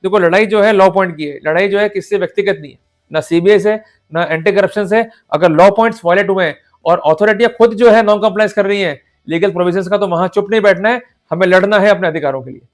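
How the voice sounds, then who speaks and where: A man's voice: sits high, fast. A man with an Indian accent lecturing on law in a didactic way, posing questions, checking in with listeners and switching into formal English legal phrases. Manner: didactic, rhetorical questions with frequent check-ins. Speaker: advocate giving law lectures. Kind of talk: explanatory lecture to viewers. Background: music.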